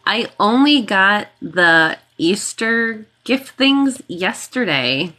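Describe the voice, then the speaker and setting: A woman's voice: medium pitch, animated, takes it slowly, sharp tone. A woman hosting a reiki livestream, greeting and thanking viewers by name in a casual, chatty way while congested and sniffly from a cold.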